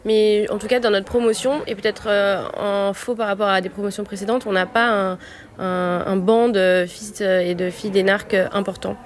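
A woman's voice: mid-range voice, fairly even intonation, normal speed. A young woman from France, a civil service student, answering interview questions earnestly with many hesitations.

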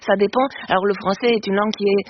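A woman's voice: medium pitch, steady tone, quick pace. Expert guest: woman, explanatory and emphatic, dull radio sound with noticeable hiss. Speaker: linguist guest. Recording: muffled radio with background noise.

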